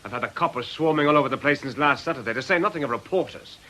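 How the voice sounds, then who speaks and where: An actor playing a chatty, affable but wary club owner in a radio drama, with a teasing, colloquial manner. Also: male voice, mid-range voice, rapid-fire, bright, forceful tone, close to an everyday voice. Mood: grumbling. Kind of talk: British radio drama acting.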